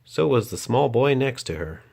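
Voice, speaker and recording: A man's voice: mid-range voice, animated, normal speed, vocal fry, a little mellow. A man reading a children's picture book aloud as a storyteller and host.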